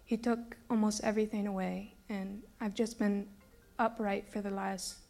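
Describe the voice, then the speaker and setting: A woman's voice: mid-range voice, level tone, moderate pace, gravelly, mellow, faint music behind. A woman in her thirties who grew up in Brazil, giving an earnest personal faith testimony to a church group. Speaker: former teacher giving a testimony.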